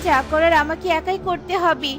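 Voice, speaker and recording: A tiny, chirpy cartoon songbird, voiced by a woman, with a very high voice. She talks sincerely and kindly and does not back down. Gentle kids' music sits under her. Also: fairly even intonation, normal speed. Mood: determined. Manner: earnest, polite. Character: small honest brave female songbird. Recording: with light background music.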